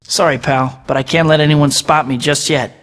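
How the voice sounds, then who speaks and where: An actor voicing a young masked superhero in a video game, talking himself through each task with wry jokes. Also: male voice, on the high side, fairly even intonation, normal speed, fairly bright tone, in a large hall, close to an everyday voice. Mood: determined. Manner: wry, joking asides to himself. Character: young male masked web-slinging superhero, wisecracking. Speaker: video game hero voice clips. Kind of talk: game voice-over lines.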